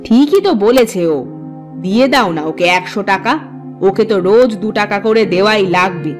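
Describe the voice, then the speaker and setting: A woman playing a gentle, honest and sensible village wife in a Bengali cartoon. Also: medium pitch, moderate pace, soft background music, natural voice. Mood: helpful. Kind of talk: Bengali moral cartoon voice acting.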